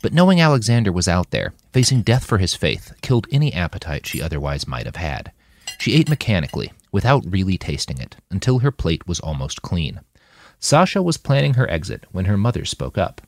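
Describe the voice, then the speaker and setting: A man's voice: deep voice, fairly quick, dry recording. A man reading a novel aloud as scripted audiobook narration, expressively acting out the dialogue. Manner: expressive, acts out quoted dialogue. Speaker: author reading his fiction aloud.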